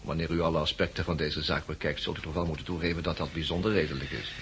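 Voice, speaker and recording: An actor playing a shrewd smuggler who bargains in a polished, deliberate way in a 1960s Dutch radio drama. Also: male voice, rumbling low, little pitch movement, a bit bright, a little hiss or hum. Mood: smooth bargaining. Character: shrewd male seed smuggler driving a hard bargain.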